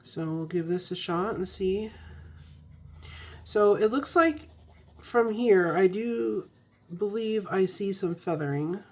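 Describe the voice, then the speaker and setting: A woman's voice: mid-range voice, expressive, slow pace. A young woman recovering from a cold, chatting casually with ums and restarts as she reviews an ink. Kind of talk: casual product review vlog.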